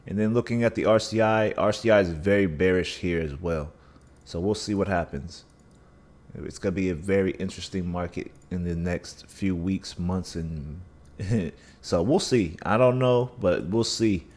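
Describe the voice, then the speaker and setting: A man's voice: low voice, moderate pace, a little mellow. A man hosting a casual live stream, talking through chart analysis with a scratchy voice and a dry mouth, thinking aloud with many restarts. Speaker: livestream trading host.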